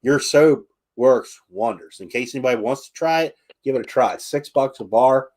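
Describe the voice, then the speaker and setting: A man hosting a livestream, chatting casually in a halting way with frequent restarts and reading out viewer questions. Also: mid-range voice, darkish tone. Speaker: livestream host.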